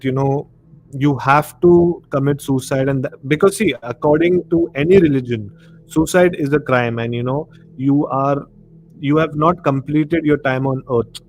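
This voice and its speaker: A man with an Indian accent speaks at a middling pitch as he hosts a casual talk. He explains at length in a conversational way, peppered with "you know". The live stream audio carries faint noise. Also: a little mellow, low-quality recording. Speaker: live show host. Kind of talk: casual discussion of horror and conspiracy.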